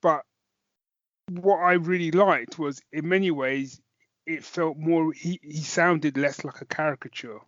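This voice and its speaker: A man's voice: a little high, unhurried, a bit bright, no room sound, lo-fi sound. A man co-hosting a podcast, chatting casually about a review and thinking aloud as he goes. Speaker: podcast co-host.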